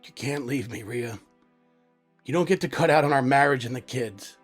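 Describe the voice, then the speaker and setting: A man's voice: mid-range voice, normal speed, soft background music, natural voice. A man playing a smuggler's devoted, stubborn lover in an audio drama, blunt and insistent, with raw emotion. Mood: pained, quiet.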